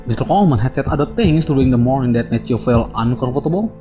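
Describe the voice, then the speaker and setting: A man's voice: medium pitch, animated, fast talker, mellow, soft background music, close to an everyday voice. A man playing a formal, patient official on a hearing panel in a radio drama. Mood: patient, firm. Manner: formal, procedural.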